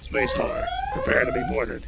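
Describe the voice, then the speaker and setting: A man's voice: very high-pitched, huge pitch swings, normal speed, gravelly, very noisy, voice pushed up, heavily acted. A man voicing a menacing alien slaver in a stilted, threatening way, in a sci-fi audio comedy. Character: menacing alien slaver demanding cargo from passing spaceship.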